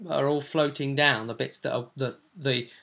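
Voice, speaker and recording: A man hosting as game master, casually recounting a tabletop roleplay session in an animated storytelling way, often ending on tag questions. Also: medium pitch, moderate pace, vocal fry. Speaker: game master and show host.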